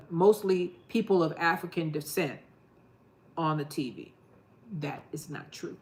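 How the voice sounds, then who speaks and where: A middle-aged woman from New York chatting casually to her gardening channel, rambling and laughing often. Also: fairly low pitch. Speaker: gardening vlogger. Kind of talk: casual chat to camera.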